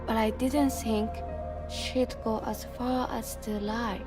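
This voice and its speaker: A young woman longing for her lost father in a video game. She speaks slowly and earnestly in a slightly high voice. Loud score music plays behind her. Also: fairly even intonation. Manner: slow, earnest, pleading. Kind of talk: video game cutscene acting.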